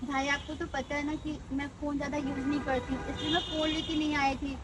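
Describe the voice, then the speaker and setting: A woman's voice: high-pitched, little pitch movement, brisk, noisy background, close to an everyday voice. A woman playing a humble, wronged village sister in a melodrama skit, complaining plaintively and indignantly. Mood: aggrieved. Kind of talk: amateur Hindi melodrama skit acting.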